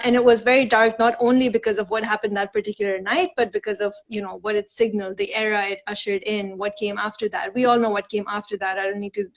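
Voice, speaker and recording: A woman hosting a live online talk in a friendly, thoughtful way. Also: medium pitch. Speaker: host from the organizer. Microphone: video call. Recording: dull lo-fi live stream audio.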